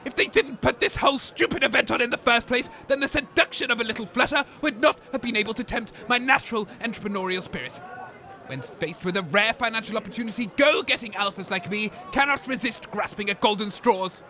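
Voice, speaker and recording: A man's voice: very high voice, a little fast, sharp tone, exaggerated voice. A man playing a pompous, greedy, foul-mouthed radio commentator who blusters and rants in a comedy audio drama. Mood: bitter ranting. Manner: blustering, ranting, insult-laden.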